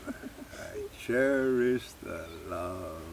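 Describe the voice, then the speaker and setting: A man's voice: mid-range voice, animated, drawn-out words, vocal fry, slight background noise, exaggerated voice. Ageing medic dad man acting, self-mocking and evasive, takes his time, naturalistic audio-play delivery. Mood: wry, fond. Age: older.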